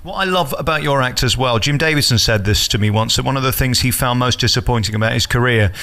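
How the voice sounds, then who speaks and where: Fast, bright-voiced male radio interviewer. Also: mid-range voice. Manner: effusive, complimentary. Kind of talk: celebrity backstage interview. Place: backstage at a theatre.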